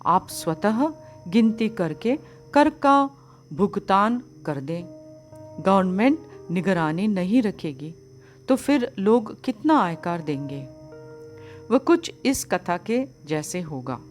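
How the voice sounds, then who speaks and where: A woman reading a spiritual discourse as scripted narration, in a measured, formal, didactic manner. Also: mid-range voice, big pitch swings, soft background music. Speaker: audiobook reader of a spiritual book.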